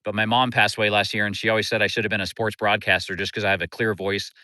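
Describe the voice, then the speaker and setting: Middle-aged Midwestern man, livestream host, bright, dry close sound, easygoing rambling chat. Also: on the low side, fast, no room sound. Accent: Midwestern American. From Kansas City. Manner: relaxed, rambling, greets viewers by name. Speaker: livestream host and fishing coach. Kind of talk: casual livestream chat with viewers. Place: outdoors by a river in town.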